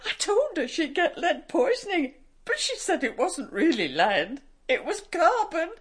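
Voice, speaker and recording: An actress playing a fretful, devoted nanny in a radio drama, talking plaintively in folksy, old-fashioned phrases. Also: female voice, very high-pitched, very animated, measured pace, full character voice. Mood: plaintive, fond.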